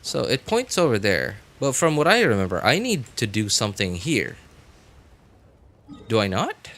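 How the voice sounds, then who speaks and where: Guy streaming a game, kinda deep voice that swings around, thinking out loud, bit sarcastic. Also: huge pitch swings, moderate pace. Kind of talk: casual live gameplay commentary.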